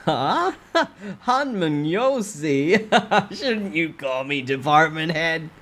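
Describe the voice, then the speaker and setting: A man's voice: a little high, very animated, slow pace, fairly bright tone, pitched above the performer's own voice, exaggerated voice. A man voicing a pompous, bullying department head in a storybook reading, condescending and exclamatory. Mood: mocking, indignant. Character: pompous, bullying male corporate department head, sneering and indignant.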